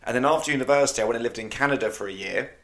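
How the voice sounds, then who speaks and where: A man's voice: mid-range voice, very fast, fairly bright tone. Easygoing Englishman guest on a podcast. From England. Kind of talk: casual interview chat.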